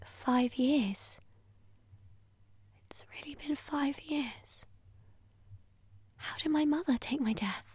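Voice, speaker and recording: A woman playing a ghostly, obsessive dead fiancée in a breathy, whispered ASMR roleplay, intimate, possessive and menacing. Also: high-pitched, darkish tone, no echo. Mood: hushed, wistful.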